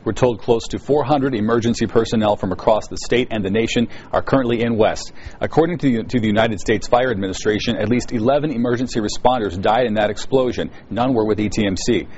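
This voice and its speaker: Fast-talking male news anchor, lowish voice. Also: darkish tone, highs cut off, slight background noise. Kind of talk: scripted news narration.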